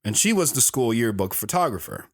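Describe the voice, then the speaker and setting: A man playing a radio show guest who tells his story in a calm, matter-of-fact way. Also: medium pitch, a little fast. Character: plainspoken former high-school athlete.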